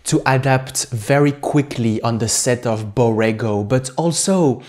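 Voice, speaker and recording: A man hosting an interview, asking formal questions with many hesitant "uh" and "um" pauses. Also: mid-range voice, darkish tone. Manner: halting, many "uh" and "um" fillers, formal phrasing. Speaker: host.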